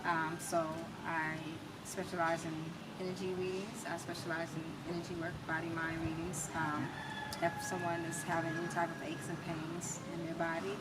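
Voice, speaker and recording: Low-pitched American woman, distant and noisy. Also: flat delivery, normal speed. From United States. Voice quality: small, soft-projecting voice. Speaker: interview guest, natural healer and spoken word artist. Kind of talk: casual interview talk. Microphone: camera mic at a distance, no wireless mic. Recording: distant mic, noisy ambient background.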